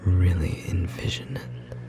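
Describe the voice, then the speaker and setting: A performer voicing a tender magical bookstore clerk in an ASMR roleplay, whispering softly and gently encouraging a beloved partner, with a slightly rough edge. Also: low voice, animated, measured pace, over loud music. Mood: gentle, guiding. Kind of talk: ASMR roleplay, intimate whispered second-person acting.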